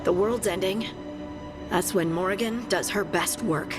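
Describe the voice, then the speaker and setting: A woman's voice: medium pitch, unhurried, natural voice. Woman as confident wry adventurer, music behind. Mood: assured. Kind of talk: video game cutscene acting.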